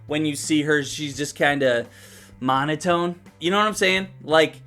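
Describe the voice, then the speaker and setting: A man's voice: on the high side, moderate pace, over loud music. A man giving a casual, blunt movie review in a conversational way, with some casual swearing. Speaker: film reviewer.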